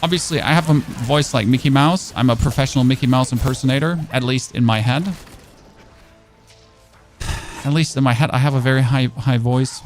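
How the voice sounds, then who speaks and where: A man's voice: medium pitch, brisk, faint music behind. A man streaming a game in casual chat, rambling and answering chat questions on a dynamic studio microphone. His first language is German.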